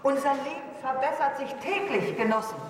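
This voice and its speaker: An actress playing a proud state propaganda announcer in a radio drama, declaiming formally over a loudspeaker. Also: female voice, high-pitched, moderate pace. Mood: proud, official. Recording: echoey hall, distant, crowd din behind.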